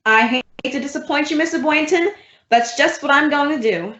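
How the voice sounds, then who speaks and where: A woman's voice: high voice, moderate pace, background noise, lightly acted. A woman playing a wry schoolteacher who tosses off dry, sardonic quips in an old-time radio comedy. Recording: roomy, dull lo-fi sound.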